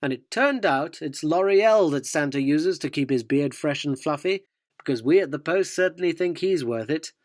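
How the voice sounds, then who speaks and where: A man's voice: fairly high pitch, darkish tone, no room sound, clean studio sound, close to an everyday voice. A man playing a cynical, self-loathing tabloid journalist in a radio drama, wry, wordy and theatrical. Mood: wry narrating. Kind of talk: radio drama narration and dialogue.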